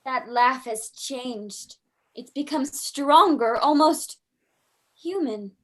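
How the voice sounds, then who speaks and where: An actress playing a frightened, earnest young daughter in an old-time radio horror drama, with melodramatic delivery. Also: female voice, high voice, takes it slowly. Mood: alarmed.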